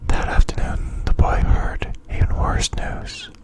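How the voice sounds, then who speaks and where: A man reading a children's bedtime story in ASMR style, in a soft, breathy, unvoiced whisper with a hushed delivery. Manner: slow, hushed, phrase-by-phrase delivery.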